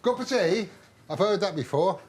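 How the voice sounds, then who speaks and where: A middle-aged man with a Cockney accent, bantering and joking loudly on a car restoration show. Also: sits high, normal speed, a little hiss or hum. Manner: jokey, excitable, loud exclamations.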